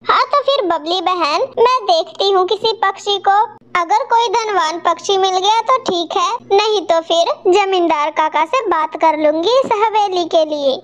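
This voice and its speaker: A woman's voice: very high voice, unhurried, a bit bright. A woman voicing an agreeable female bird neighbour who gives advice in a cartoon. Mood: helpful. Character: helpful female bird neighbour, sympathetic gossip. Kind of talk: Hindi cartoon story dubbing.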